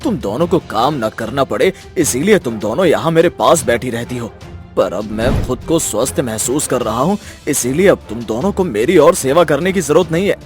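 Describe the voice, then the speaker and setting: A man's voice: medium pitch, animated, moderate pace, lightly acted. A man playing a stern, angry village father who scolds in a fairy tale. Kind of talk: voice acting in a Hindi fairy tale. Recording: music behind the voice.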